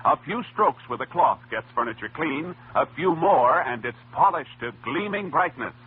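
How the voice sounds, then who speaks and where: A male American announcer delivers a persuasive scripted ad in old-time radio style. His voice is fairly high and very rough-edged, on a narrow tinny recording. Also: lively intonation, moderate pace. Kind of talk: 1940s-1950s scripted radio advertising.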